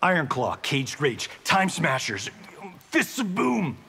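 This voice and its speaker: An actor playing a brash, smug Hollywood action star in a fighting game. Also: male voice, a little high, huge pitch swings, slow, vocal fry, pushed and bright, husky, exaggerated voice. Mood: smug, teasing. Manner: smug, showy, slangy.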